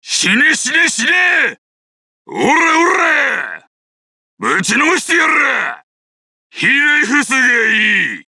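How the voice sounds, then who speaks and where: An actor playing an ambitious, thuggish guard captain in a video game, coarse, boastful and menacing. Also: male voice, extremely high pitch, huge pitch swings, a little slow, vocal fry, pauses often, sharp tone, dry recording, raised voice, studio quality, heavily acted. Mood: battle rage. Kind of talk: video game character voice clips.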